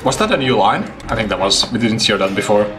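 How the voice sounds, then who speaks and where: A young man streaming a game from a home room, reacting casually and excitably. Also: fairly low pitch, fast, noisy background. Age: young adult. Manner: excitable, exclaiming. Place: home room indoors, at a desk. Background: game music.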